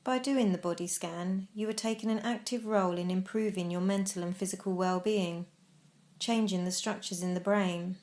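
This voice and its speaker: A woman narrating a guided meditation as instructor, in a measured, instructional manner with deliberate pauses. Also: medium pitch, fairly even intonation, darkish tone.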